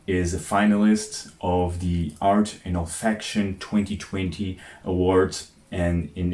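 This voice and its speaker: Deep-voiced male fragrance reviewer from Portugal, eager, fires off scent notes in quick bursts; roomy echo. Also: a little mellow. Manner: enthusiastic, lists descriptors in short bursts. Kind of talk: guest segment in a video collaboration.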